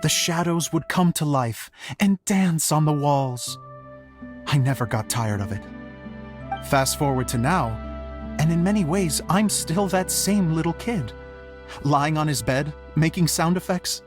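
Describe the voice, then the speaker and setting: A man's voice: on the high side, lively intonation, unhurried, over music. A man playing a sentimental, theatrical show director in a game cutscene, speaking earnestly and expressively. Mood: nostalgic, grateful. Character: theatrical, sentimental grown male show director, grateful dreamer. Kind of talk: animated game cutscene acting.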